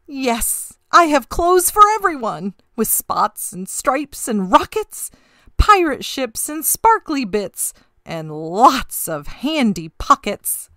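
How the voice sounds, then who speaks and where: A woman's voice: high voice, sing-song, takes it slowly, sharp tone, no room sound, studio quality, full character voice. Woman playing a showy, swooping seahorse shopkeeper. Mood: boastful, eager. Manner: showy, courteous sales patter. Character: eager, polite male seahorse shopkeeper, a showy salesman. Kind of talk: rhyming picture-book read-aloud.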